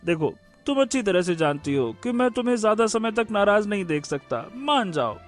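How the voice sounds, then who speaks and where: An actor playing a playful young man sweetly pleading in a teasing way in an animated story. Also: sits high, normal speed, soft background music. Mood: coaxing. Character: playful, coaxing young lover, landlord's dutiful son. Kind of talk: animated fairy-tale story acting.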